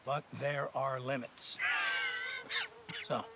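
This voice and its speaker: An actor playing a pompous, blustering army colonel in a video game, halting and condescending. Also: male voice, medium pitch, flat delivery, very noisy. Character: pompous, blustering army colonel, short-tempered older officer. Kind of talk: dramatic video game acting.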